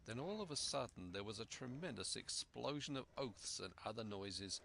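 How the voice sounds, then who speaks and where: Low, bright-voiced male storyteller. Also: animated, moderate pace, vocal fry, slight background noise. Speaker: storyteller, audiobook reader. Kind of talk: expressive scripted story narration.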